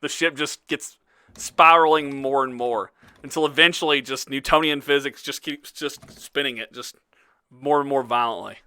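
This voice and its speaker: A man's voice: medium pitch, fairly even intonation, normal speed, pushed and bright, clear, resonant tone, dry recording. A man running a tabletop game as game master, swearing casually and laughing often.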